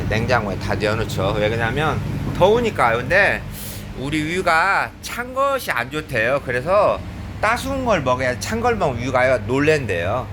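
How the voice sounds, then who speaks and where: A man with a cold, chatting casually as he films himself shopping in a convenience store, filling pauses with "yes". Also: medium pitch, noisy background. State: has a cold. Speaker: vlogger. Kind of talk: casual running commentary while shopping.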